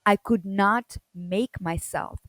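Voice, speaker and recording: A woman from the former Soviet Union, telling her personal story as a guest in a loud, energetic and expressive way. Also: mid-range voice, takes it slowly, dry recording.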